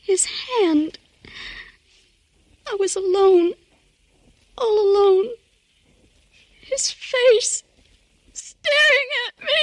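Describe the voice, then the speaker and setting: An actress playing a distraught, haunted young woman in a 1950s radio crime drama, halting and repeating words in anguish. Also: very high-pitched, animated, no echo, exaggerated voice, rough recording. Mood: haunted, horrified.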